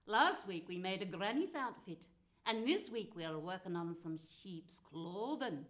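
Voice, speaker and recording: A woman's voice: medium pitch, animated, measured pace, fairly bright tone. A woman playing a spiteful, gleeful, foul-mouthed witch who tells comic fairy tales in a theatrical, cackling manner. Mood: spiteful, aggrieved. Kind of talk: comic storytelling monologue.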